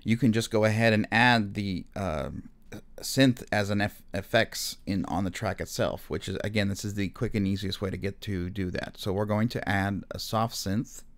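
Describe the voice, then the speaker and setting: Male tutorial narrator, lowish pitch, casual and explanatory, slightly hesitant off-the-cuff delivery. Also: steady tone, normal speed. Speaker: music software instructor. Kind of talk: casual spoken screen tutorial.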